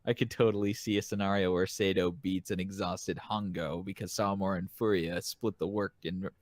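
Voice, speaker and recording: Man, fairly low pitch, casual podcast co-host, conversational commentary. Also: steady tone, brisk, dry recording, clean studio sound. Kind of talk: casual reaction chat.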